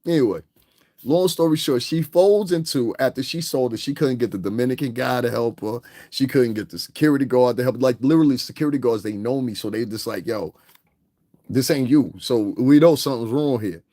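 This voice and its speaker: A man tells a long story in a loose, animated way. He acts out the people in it with sudden leaps in pitch. His voice sits in a middle range and sounds close and dry. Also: a little fast, dry recording. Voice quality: deep voice. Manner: animated, acts out others' lines with big pitch jumps. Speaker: vlogger. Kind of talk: casual storytelling rant. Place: home in Connecticut.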